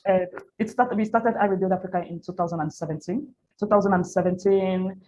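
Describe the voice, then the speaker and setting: A woman's voice: mid-range voice, steady tone, fairly quick, a little mellow. A Cameroonian woman in her thirties telling her personal story as an interview guest, candid and repeating phrases for emphasis. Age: 30s. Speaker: interview guest, NGO founder and women's activist. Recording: muffled lo-fi audio with audible background noise.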